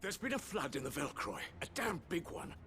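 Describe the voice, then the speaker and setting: A blunt, loyal male lieutenant in a dramatic game. His voice is highish and forceful, swinging widely with alarm. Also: sing-song, a little fast, soft background music. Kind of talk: dramatic video game acting.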